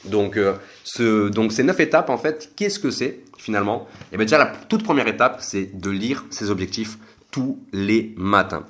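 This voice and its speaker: A young man talks through a casual solo podcast at a slightly low pitch. He rambles, circling back with fillers and restarts as he explains himself. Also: lively intonation, normal speed, a bit bright. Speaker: podcast host.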